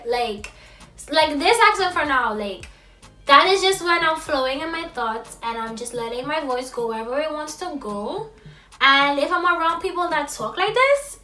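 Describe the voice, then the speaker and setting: A young woman from Trinidad with a high, youthful voice talks expressively to camera. Her accent is Trinidadian with an American lilt, and she speeds up when she gets excited. Her bright, high tone sits back from the mic in an echoey room. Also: distant mic. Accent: Trinidadian with American influence. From Trinidad and Tobago. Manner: expressive, lively, quick when excited, laughs often. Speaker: vlogger. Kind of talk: casual talk to camera.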